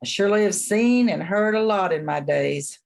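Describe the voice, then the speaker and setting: A woman's voice: medium pitch, expressive, creaky, darkish tone. A woman playing an elderly small-town local in a radio play, telling old lore in a folksy, matter-of-fact way. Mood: folksy, mysterious. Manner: folksy, unhurried storytelling.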